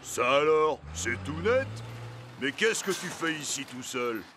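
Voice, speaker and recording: A man's voice: fairly high pitch, very animated, a little slow, noisy background. A man voicing a friendly, busy, concerned delivery truck in a French children's cartoon dub. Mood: surprised, scolding.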